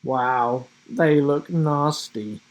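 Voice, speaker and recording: A man's voice: a little high, very slow, low-quality recording. A man voicing a plucky, sardonic girl adventurer in a children's storybook, with sassy exclamations. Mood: wry, confident.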